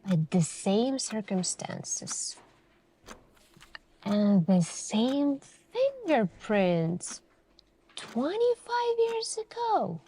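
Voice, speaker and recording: A woman doing an ASMR roleplay as a suspicious female detective, questioning in a deliberate, pointed way with many pauses. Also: fairly high pitch, sing-song, warm tone, slight background noise, low-quality recording. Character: calm, suspicious, probing female police detective. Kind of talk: ASMR interrogation roleplay, slow deliberate delivery.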